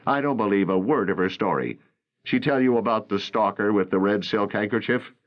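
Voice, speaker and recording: A man's voice: lowish voice, fairly quick, lo-fi sound, close to an everyday voice. A man voicing a skeptical older detective boss who is dismissive and snorting.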